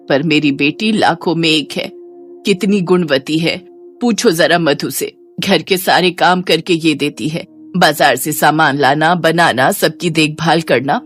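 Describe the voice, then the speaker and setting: A woman puts on an older widowed mother in a cartoon drama. Her voice sits lower and carries tender reproach as she shields her child. Soft music runs behind. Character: gentle, protective older widowed mother, pleading.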